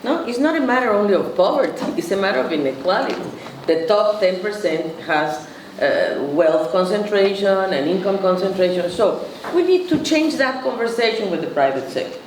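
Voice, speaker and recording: A woman's voice: medium pitch, animated, moderate pace, a little hiss or hum. A woman in her sixties with a Latin American Spanish accent, a native Spanish speaker, giving emphatic conference panel remarks full of rhetorical questions. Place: conference room. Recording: echoey hall from far off.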